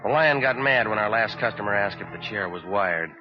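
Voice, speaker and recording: An American actor playing a cynical, wisecracking private detective in a 1940s radio drama, terse and deadpan. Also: male voice, fairly low pitch, a little fast, lightly acted. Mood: dry, wry. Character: cynical wisecracking hard-boiled male private detective narrator. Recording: dull vintage radio recording with music.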